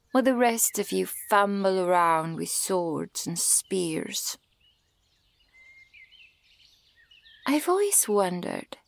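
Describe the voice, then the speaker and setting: A woman performs a haughty, centuries-old elven huntress for an ASMR roleplay. Her voice is on the low side and heavy with creak. She speaks very slowly and deliberately, with cold condescension and menace, sometimes slipping toward a whisper. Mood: cold, taunting. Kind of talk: slow ASMR roleplay monologue.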